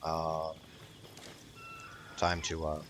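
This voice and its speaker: Deep voice gamer guy rambling over his gameplay, blunt and sweary, slight noise behind. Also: completely flat delivery, drawn-out words, fairly bright tone. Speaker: gaming video creator. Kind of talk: casual gameplay commentary.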